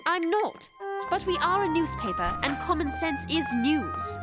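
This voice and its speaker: A woman voicing an earnest, proper teenage girl, easily indignant, in an animated series. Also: high voice, measured pace. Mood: disapproving. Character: earnest, prim teenage English girl loyal to king. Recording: loud music behind the voice.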